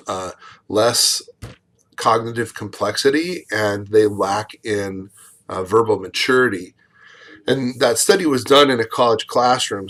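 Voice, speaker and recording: Lower-pitched man giving a measured lecture. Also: fairly bright tone. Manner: measured, explanatory, with frequent fillers and restarts. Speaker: college speech instructor. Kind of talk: recorded video lecture.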